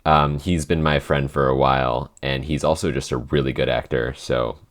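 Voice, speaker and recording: Very deep-voiced rambling man. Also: fairly even intonation, moderate pace. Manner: rambling, heavy use of "like". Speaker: podcast co-host, show writer. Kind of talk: casual chat.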